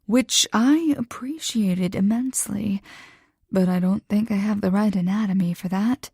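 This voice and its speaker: A woman voicing a frank, aroused adult man who speaks in a slow, breathy whisper in a romance audiobook. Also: medium pitch, a little mellow, dry recording, studio quality. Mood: husky, intimate.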